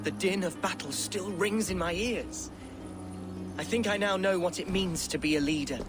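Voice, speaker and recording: An actor playing an earnest young alderman in a game cutscene, speaking formally and warmly to an ally. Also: sits high, normal speed, lightly acted. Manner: formal, heartfelt, self-deprecating. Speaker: newly named alderman of a shire. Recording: game cutscene with loud music.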